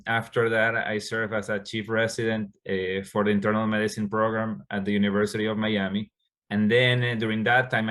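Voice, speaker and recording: A man from Ecuador, a Spanish speaker, answering interview questions as a doctor, halting with many fillers and restarts. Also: on the low side, a bit bright. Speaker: endocrinologist guest.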